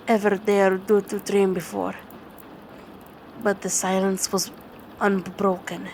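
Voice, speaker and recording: A woman playing a tender, dominant vampire girlfriend in an ASMR bedtime roleplay, speaking in a halting, soothing way. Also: medium pitch, a little mellow, natural voice. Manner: slow, halting, soothing delivery with repeated words. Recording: clearly noisy ambient background.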